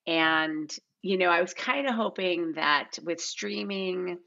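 Low-pitched woman, middle-aged, conversational explaining, slightly dull video-call sound. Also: slow, creaky, bright, forceful tone. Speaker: casting director, podcast guest. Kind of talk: casual interview talk. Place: bright living room at home, indoors.

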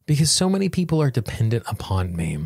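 A man hosting a self-help podcast, coaching listeners directly in measured, deliberate phrases. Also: medium pitch, sing-song, gravelly, darkish tone, slightly rough, no echo, studio quality. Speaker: podcast host and tapping coach.

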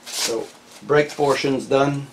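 A middle-aged man casually explaining shop work as a hobby machinist, in a halting, hands-on way. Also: mid-range voice, steady tone, gravelly. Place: cluttered garage machine shop. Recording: noisy workshop ambience.

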